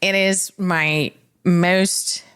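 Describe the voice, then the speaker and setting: A woman's voice: lowish voice, fairly even intonation, extremely slow, a bit bright, clean tone. A woman hosting a legal podcast as a trial attorney, telling stories in a casual, conversational way and repeating phrases for emphasis.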